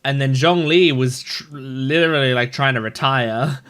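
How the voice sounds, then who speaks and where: A man's voice: mid-range voice, normal speed, a bit bright, clean tone. A man giving informal gameplay reaction commentary, musing aloud and swearing now and then. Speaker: gaming video host.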